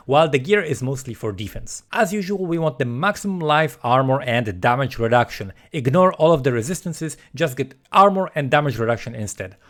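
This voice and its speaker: A man delivers an instructional gaming voice-over with a mid-pitched voice. He speaks as a content creator walking the viewer through a guide, explaining things directly and clearly in a prepared, scripted way. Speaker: gaming video creator presenting a build guide.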